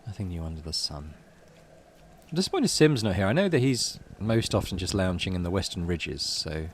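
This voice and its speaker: Lively, low-voiced man commentating on a game. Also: normal speed, slight background noise. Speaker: video game let's player. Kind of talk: casual game commentary, thinking aloud.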